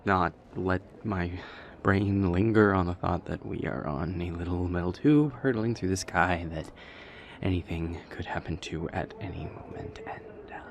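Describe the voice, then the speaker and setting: Man acting a low, stammering, nervous vampire boyfriend. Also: a little hiss or hum. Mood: nervous, uneasy. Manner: hesitant, stammering, halting nervous speech. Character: nervous male vampire boyfriend afraid of flying. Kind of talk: intimate boyfriend audio roleplay.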